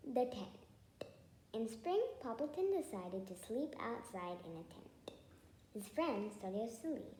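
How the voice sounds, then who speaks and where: Animated woman reading a children's story. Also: a little high, a little slow. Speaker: storybook reader. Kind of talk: children's story read-aloud. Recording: echoey room, faint background noise.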